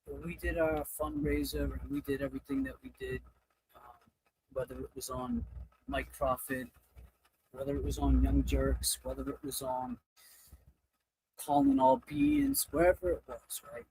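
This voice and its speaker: A man with a high, animated voice chats casually as a livestream host. His speech has a gravelly fry to it and rambles along, often dropping a thought halfway. There is faint noise behind him. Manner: rambling, halting, often breaking off mid-thought.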